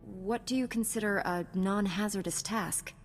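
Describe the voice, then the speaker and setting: Lady voicing a calm earnest jedi hero in a game, middle pitch, faint music. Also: moderate pace, lightly acted. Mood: composed, earnest. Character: calm, selfless, dutiful young Jedi Knight heroine. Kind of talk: scripted video game dialogue.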